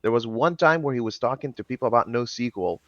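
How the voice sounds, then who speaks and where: A man's voice: mid-range voice, a little fast, a bit bright. A man hosts a podcast from a home studio, talking animatedly and rambling, often repeating words. His headset sound is dry and a little dull, with no room echo. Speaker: podcast host. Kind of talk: casual interview chat.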